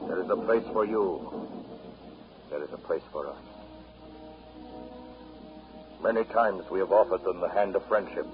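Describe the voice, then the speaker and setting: Grave, deep-voiced proud chief, played by a man. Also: close to an everyday voice. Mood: solemn. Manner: solemn, declamatory. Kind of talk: solemn 1930s radio drama oratory. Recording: muffled 1930s radio recording with music.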